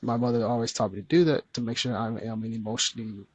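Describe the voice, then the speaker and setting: A soft-spoken man talks quietly and earnestly as a guest in a candid conversation. His voice is husky and soothing, pitched in an ordinary middle range. The sound is close and dry. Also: flat delivery, quick pace, no room sound. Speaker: podcast guest.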